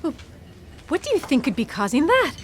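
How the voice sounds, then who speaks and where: An actress playing an earnest, excitable and quirky paleontologist in comic audio theatre, eager and emphatic. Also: female voice, high voice, fairly quick. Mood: emphatic, puzzled.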